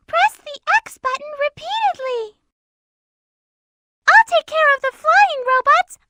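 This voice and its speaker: A woman's voice: very high-pitched, sharp tone, no room sound, clean studio sound, exaggerated voice. An actress playing a sweet, polite little girl rabbit in a video game, with a childlike manner. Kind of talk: video game character lines.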